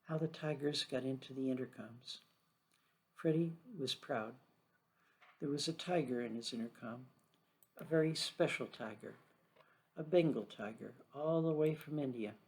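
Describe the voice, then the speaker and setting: A man's voice: mid-range voice, moderate pace, long pauses, a little mellow, rough recording. A man reading a scripted children's story aloud as the storyteller.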